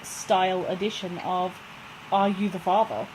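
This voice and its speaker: A woman co-hosting a podcast in casual banter, playful and slangy, joking in a deadpan way. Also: lowish voice, unhurried, slight background noise, low-quality recording. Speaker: podcast co-host.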